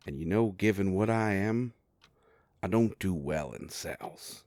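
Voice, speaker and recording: A man playing a sardonic, grumbling werewolf private investigator with a soft heart, in an audio roleplay drama. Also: expressive, unhurried, dry recording, clean studio sound. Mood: exasperated, defensive. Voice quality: low gravelly voice.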